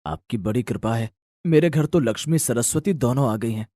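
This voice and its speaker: A man's voice: medium pitch, lively intonation, moderate pace, warm tone, no echo, lightly acted. A man voicing a humble, devoted poor farmer, earnest and resolute, in a moral story. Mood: grateful. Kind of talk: dramatised Hindi moral story acting.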